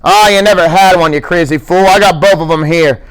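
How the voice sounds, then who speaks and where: A man reading aloud and voicing a small, gruff working man who scolds and grumbles with irritation. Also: high-pitched, fairly quick, lightly acted, rough recording. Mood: gruff, scolding. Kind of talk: audiobook character reading.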